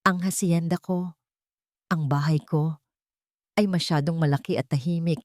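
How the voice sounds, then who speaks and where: A woman's voice: low voice, steady tone, moderate pace, darkish tone, dry recording, clean studio sound, lightly acted. A woman with a Filipino accent voicing a cold, brooding rich man in a romance story, blunt and businesslike. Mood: grave, direct.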